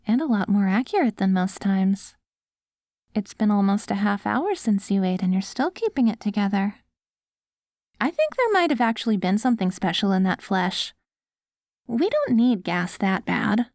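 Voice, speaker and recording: A woman playing an affectionate post-apocalyptic wife in an ASMR audio roleplay, coaxing and gently praising her ghoul husband. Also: mid-range voice, no echo, clean studio sound.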